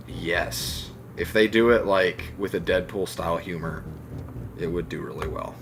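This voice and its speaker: A man chatting casually as a podcast co-host, with an animated way of talking. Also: sits low, a little slow. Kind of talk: casual chat about video game movies. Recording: clear background noise.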